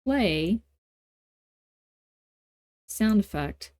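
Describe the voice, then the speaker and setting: A woman hosting a casual software tutorial, talking in an informal, playful and self-deprecating way. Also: medium pitch, expressive, very slow, dry recording, studio quality. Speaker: tutorial host.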